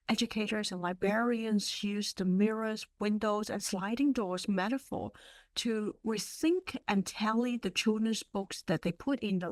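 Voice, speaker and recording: Cantonese-native woman presenter, mid-pitched, dry close sound, measured delivery with ums. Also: dry recording. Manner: measured, with frequent um fillers. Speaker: webinar presenter. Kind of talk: presenting a library webinar. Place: online webinar.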